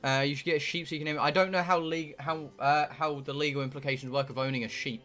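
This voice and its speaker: A man's voice: fairly high pitch, steady tone, fast talker, sharp tone, faint music behind. A British man live streaming a game, rambling casually to his viewers in a blunt, sweary, joking way. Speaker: live streamer playing a visual novel.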